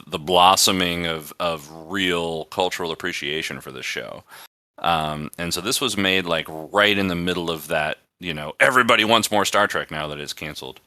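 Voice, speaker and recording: A man with a very low voice and a strikingly bright, forceful edge hosts a casual podcast. He talks in a loose, rambling way, and every word comes through crisp and close in a dry recording. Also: normal speed, no room sound. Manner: rambling, conversational. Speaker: podcast host. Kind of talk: casual chat.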